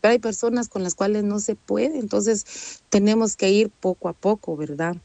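A woman's voice: medium pitch, moderate pace, gravelly, dark tone, no room sound. Woman preaching, earnest and chatty, keeps checking in with the church, dry close sound. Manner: earnest, repeats "¿verdad?" and "amén" often. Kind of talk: Spanish-language sermon.

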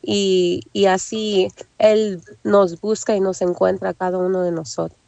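Hoarse woman preaching over the phone. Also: mid-range voice, level tone, a little slow, warm tone, dry recording. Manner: humble, many "am" fillers, frequent amens. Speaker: guest preacher. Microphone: phone call.

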